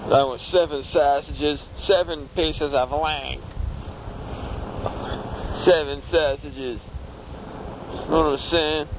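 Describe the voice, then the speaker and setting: Man, prank caller, high voice, halting, rambling, faint hiss. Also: fairly even intonation. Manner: rambling, halting, fake naive, absurd. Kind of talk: prank phone call.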